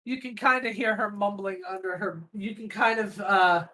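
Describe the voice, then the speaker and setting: A woman's voice: medium pitch, fairly even intonation, normal speed, pushed and bright. A giggly, often swearing woman casually narrating an improvised tabletop game as its game master.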